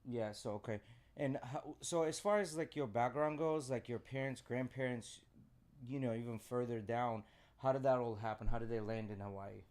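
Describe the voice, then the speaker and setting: A man's voice: mid-range voice, moderate pace, darkish tone. A man co-hosting a podcast, explaining his opinions at length in casual chat. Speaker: podcast co-host.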